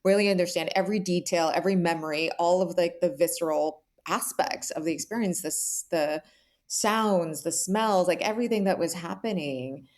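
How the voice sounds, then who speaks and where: A woman's voice: lowish voice, normal speed, darkish tone. A woman speaking as an author guest in an interview, conversational, earnest and reflective, often checking in with "right?".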